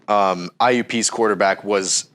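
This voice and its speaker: A man's voice: fairly low pitch, measured pace, clear, resonant tone. A young man co-hosting a casual sports chat, sounding stuffy from a cold as he works through stats with frequent ums. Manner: steady, methodical, frequent ums. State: stuffy, under the weather. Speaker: co-host.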